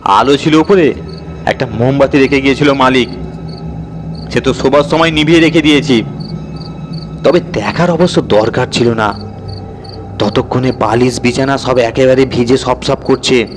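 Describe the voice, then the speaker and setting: Male actor as male raconteur, colloquial, suspenseful, dramatic, audio horror story, medium pitch, music behind. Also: moderate pace, long pauses, lightly acted. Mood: teasing suspense. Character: suspense-building male raconteur recalling a ghostly night.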